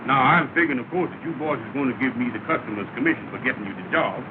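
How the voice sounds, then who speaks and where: An actor playing a scheming lodge leader, persuasive and grandly wordy, in a 1930 comedy film. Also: male voice, medium pitch, racing, close to an everyday voice. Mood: wheedling. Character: smooth-talking, scheming lodge leader, a small-time swindler. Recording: tinny, noisy 1930 film soundtrack.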